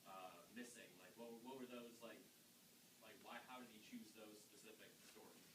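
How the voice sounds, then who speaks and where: A man in an audience casually asking a question, haltingly rewording it as he goes. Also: fairly low pitch, steady tone, a bit bright. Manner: halting, rephrasing. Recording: very noisy echoey room, far from mic.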